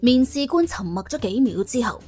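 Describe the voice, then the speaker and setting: A woman narrating an audiobook in colloquial Cantonese, telling the story in a wry, dramatic, expressive way. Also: on the high side, normal speed, faint music behind.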